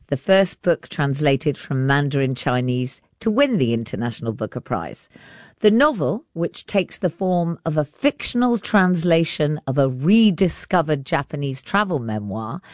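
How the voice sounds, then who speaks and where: A woman hosts an arts show on the radio with a notably low voice. The sound is dry and close. She delivers scripted links and interview questions as a presenter. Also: darkish tone, no echo.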